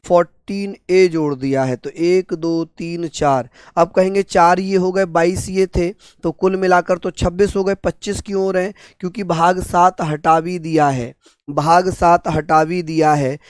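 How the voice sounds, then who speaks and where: Hoarse male teacher drilling terms. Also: sits high, steady tone, a little slow, no echo, clean studio sound. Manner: repeats terms, asks and answers own questions. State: hoarse, sore throat. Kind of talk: online exam-prep lecture.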